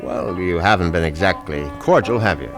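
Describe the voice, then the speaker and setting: An actor playing a scheming, sardonic husband in a radio mystery drama, speaking with false sweetness. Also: male voice, low voice, normal speed, over music, lightly acted. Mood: coaxing, aggrieved. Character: scheming, sardonic husband plotting to kill his rich wife. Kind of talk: radio mystery acting with first-person narration.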